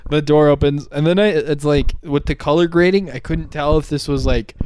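A man's voice: on the high side, moderate pace, clear, resonant tone, no echo. A young man co-hosting a casual movie review on a couch, talking into a handheld mic with fillers and laughter. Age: young adult. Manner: laughing, animated, many fillers. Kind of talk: casual chat. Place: living room, on a couch.

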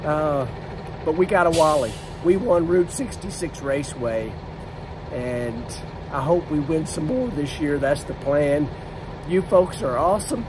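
A man, vlogging on his phone with a hoarse, worn-out voice from screaming, excitable and laughing. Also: fairly high pitch, measured pace, background noise. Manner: excitable, laughing, lets out loud woos. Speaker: drag racing driver and vlogger. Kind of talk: casual vlog to camera. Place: inside a trailer or motorhome. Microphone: phone camera at arm's length.

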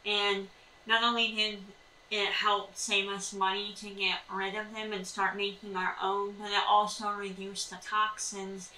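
An American woman explains household tips in a casual vlog style. Her voice is bright and clear-cut at a middling pitch. She sounds a way off from the mic in an echoey room, with faint noise behind her. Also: flat delivery, unhurried, far from the mic. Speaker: homesteading vlog co-host. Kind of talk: explanatory chat to camera with tips. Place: indoors at a table in a small sunlit room by windows.

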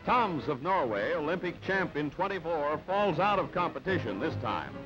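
A man's voice: fairly high pitch, big pitch swings, moderate pace. A man reading scripted newsreel narration in a clipped, punchy, dramatic way on an old soundtrack. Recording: dull band-limited old newsreel soundtrack. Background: music.

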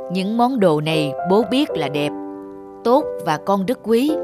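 A man playing a gentle, wise father who explains things patiently in a cartoon. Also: sits high. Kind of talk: cartoon dubbing.

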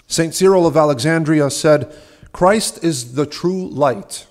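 A young man, a subdeacon, giving an earnest, measured sermon at a church lectern, asking rhetorical questions. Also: fairly high pitch, darkish tone. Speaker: subdeacon preaching. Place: large ornate church, at a lectern. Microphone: lectern microphone.

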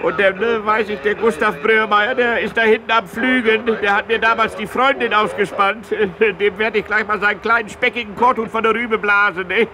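Actor as a high-voiced, rambling fighter pilot. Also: male voice, fairly even intonation, moderate pace, hardly any pauses, crowd noise, lightly acted. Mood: gleefully vengeful. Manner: rambling, hesitant, folksy, tacking question tags onto sentences. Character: rambling, vengeful small-town fighter pilot, a petty male captain. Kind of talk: satirical radio comedy sketch. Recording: loud vehicle noise around the voice.

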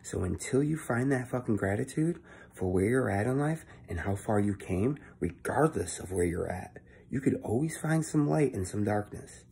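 A young man giving a casual motivational monologue into his phone at home, keeping his voice low and swearing freely. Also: expressive, normal speed, creaky, dark tone, breathy edge. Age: young adult. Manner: talking low and swearing often, earnest. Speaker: vlogger. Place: indoor room at home. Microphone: phone held close at arm's length.

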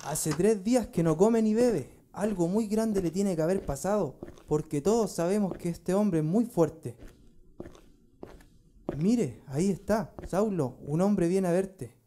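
A woman's voice: fairly low pitch, measured pace, warm tone, close to an everyday voice. A woman playing a hospitable, worried householder in a radio drama. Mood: worried, welcoming.

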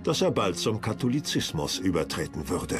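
A man's voice: low-pitched, very animated, normal speed. Male documentary narrator over faint music. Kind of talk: scripted narration.

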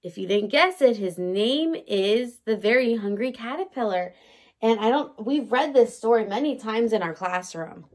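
A woman in her thirties, a teacher reading a picture book aloud to young children in an animated way, with questions for them. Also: on the high side, normal speed. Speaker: early learning teacher. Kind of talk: children's story time read-aloud. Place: indoors by a large window in a room at home.

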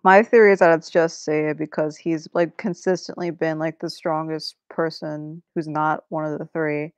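A woman's voice: low-pitched, level tone, moderate pace, clean tone, no echo. A woman hosting a casual book discussion over a video call. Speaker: discussion host. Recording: dull, lo-fi video call audio.

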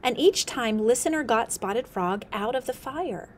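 A woman in her thirties reads a picture book aloud, slow and expressive, sometimes dropping to a whisper, indoors on a close camera mic. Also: fairly high pitch, slight background noise. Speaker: storyteller. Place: indoor room with a window.

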